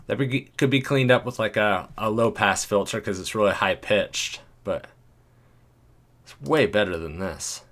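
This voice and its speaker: A man with a deep voice, talking casually and thinking aloud as he walks through an editing tutorial, chuckling now and then. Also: a little slow, a bit bright, slight background noise. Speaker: video creator.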